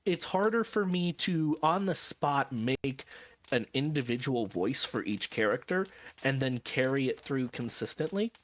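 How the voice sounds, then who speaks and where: A man's voice: medium pitch, expressive, unhurried. A man chatting casually to his stream audience, rambling and correcting himself with wry asides, tired and a little jet-lagged. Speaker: vtuber streamer.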